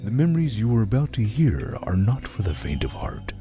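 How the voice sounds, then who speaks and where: A man's voice: on the low side, sing-song, brisk, music in the background. A man playing an ominous horror anthology announcer, delivering a theatrical scripted introduction. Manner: portentous, theatrical. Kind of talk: dramatic scripted intro narration.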